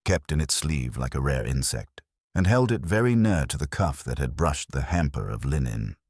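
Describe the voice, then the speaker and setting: A man reading a mystery story as a narrator, in a measured, dryly understated literary manner. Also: very deep voice, breathy edge, no room sound, clean studio sound. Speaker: audiobook narrator. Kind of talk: scripted narration of a mystery novel.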